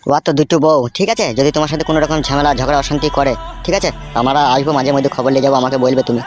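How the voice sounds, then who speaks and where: A man's voice: medium pitch, fairly even intonation, rapid-fire, natural voice. A man playing a vain, flirtatious party henchman in a comic cartoon, nosy and preachy. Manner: nosy, preachy, smooth-talking. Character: meddling, flirtatious party henchman, vain bachelor of thirty-three. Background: background music.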